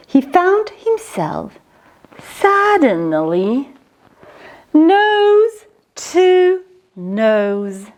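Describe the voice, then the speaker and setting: A woman's voice: medium pitch, a little mellow. A woman reading a children's storybook aloud dramatically, speaking directly to young listeners. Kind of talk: expressive storybook read-aloud.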